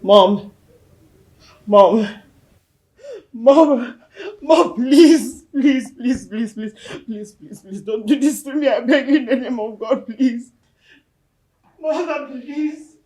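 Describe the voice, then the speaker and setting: A young Nigerian princess mourning her mother in a screen melodrama. Her voice is high and slow, and she pleads and wails, repeating herself in grief. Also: lively intonation, darkish tone, echoey room. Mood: wailing, desperate. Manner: pleading, wailing, repetitive. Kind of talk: melodramatic film acting.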